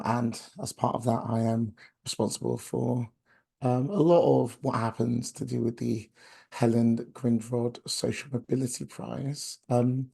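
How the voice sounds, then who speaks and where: A young man hosting an online webinar, his voice hoarse and gravelly from a chest infection. He talks in a friendly, halting way, padding his sentences with filler sounds. His tone is dark and husky, and he comes through a video call mic. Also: medium pitch. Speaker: outreach coordinator. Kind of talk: webinar presentation.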